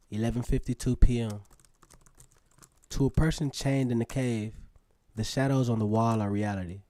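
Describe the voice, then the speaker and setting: A man's voice: medium pitch, mellow, no room sound, close to an everyday voice. A man playing an earnest, lovestruck seventeen-year-old boy reading his own letters aloud in a radio drama, with a poetic manner.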